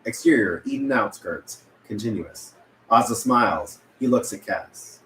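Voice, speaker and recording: A man's voice: medium pitch, expressive, unhurried, fairly bright tone. A man reading stage directions at a script table read, occasionally stumbling and correcting himself. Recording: echoey room with background noise.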